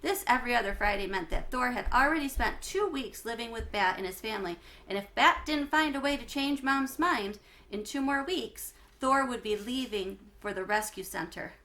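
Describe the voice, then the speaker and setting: A woman reading a children's book aloud like a teacher to schoolchildren, with expressive phrasing and emphasis on key words. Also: a little high, normal speed. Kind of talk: read-aloud storytelling for schoolchildren.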